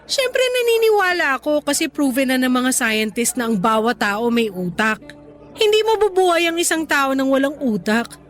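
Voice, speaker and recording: Young woman, higher pitch, cheeky, stubborn, affectionate skeptic, conversational radio drama, faint music underneath. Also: normal speed. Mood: cocky, debating. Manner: teasing, casual, animated retorts. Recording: studio dialogue over faint music.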